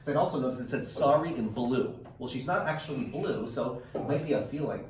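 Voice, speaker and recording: A man's voice: mid-range voice, a little mellow, slight background noise. A man reading a picture book aloud to children, drawing out words dramatically and adding teaching asides. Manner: dramatic, drawn-out word emphasis. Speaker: read-aloud storyteller. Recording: echoey room, distant microphone.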